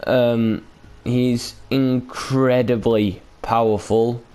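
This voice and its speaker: Guy doing chill game commentary, slow n rambly, hesitant, clean clear voice, kinda sweary. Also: mid-range voice, steady tone, mellow. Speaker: gaming video host. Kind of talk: casual unscripted game commentary.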